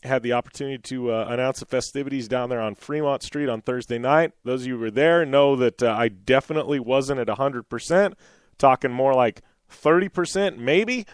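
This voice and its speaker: Slightly raspy male radio host. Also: medium pitch, fairly quick, dry recording. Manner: rambling, many fillers and restarts. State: slightly raspy from losing his voice. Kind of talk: casual radio talk.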